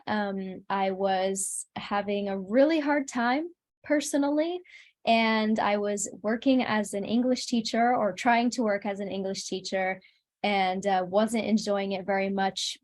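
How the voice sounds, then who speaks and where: Casting: woman as a singer being interviewed, average pitch, casual and thoughtful, agreeable delivery.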